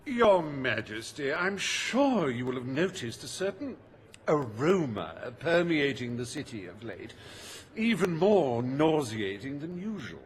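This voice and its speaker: An actor voicing a foppish, greedy, scheming industrialist in a video game, pompous and drawling, with oily contempt for the poor. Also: male voice, mid-range voice, very animated, measured pace, long reverb tail. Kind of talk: theatrical video game dialogue.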